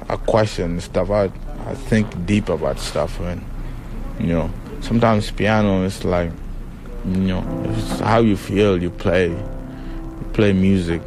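A man's voice: low voice, fairly even intonation, a little slow, over music, low-quality recording. A man in his twenties from Sudan whose first language is Acholi, talking in a reflective, casual way and often saying "you know". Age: 20s. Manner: reflective, loose informal grammar, frequent "you know". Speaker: interviewee. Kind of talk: casual interview talk. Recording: crowd noise around speaker.

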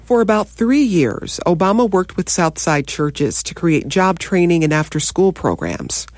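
A narrator reading a scripted documentary narration with lively intonation. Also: mid-range voice, measured pace, dry recording, studio quality.

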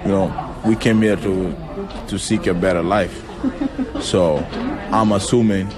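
A man's voice: low-pitched, a little slow, crowd noise. A man in his twenties from South Sudan whose first language is Acholi, speaking casually and thoughtfully, often repeating words as he searches for them. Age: 20s. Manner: relaxed, reflective, repeats words while searching. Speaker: beauty school student. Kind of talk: casual interview talk. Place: beauty school and home.

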